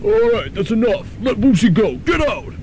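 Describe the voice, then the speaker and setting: A man's voice: sits high, very animated, normal speed, a little mellow, slight background noise. A young man playing a laid-back, protective college boyfriend, laconic and casual, in a radio comedy. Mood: concerned, firm. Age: young adult. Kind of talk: 1990s student radio comedy drama.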